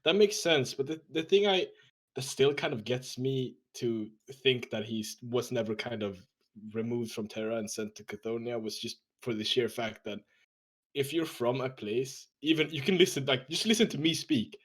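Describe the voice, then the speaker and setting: A man's voice: medium pitch, moderate pace. A man from Sweden with a Swedish accent, hosting a casual roundtable podcast and talking with hesitant fillers.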